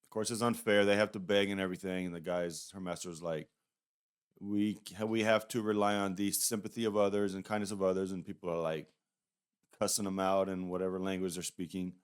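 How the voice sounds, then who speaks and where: Low, clear-voiced man chatting casually. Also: level tone, a little fast. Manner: rambling, hedging, conversational. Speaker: YouTube reviewer. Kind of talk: casual, unscripted review commentary.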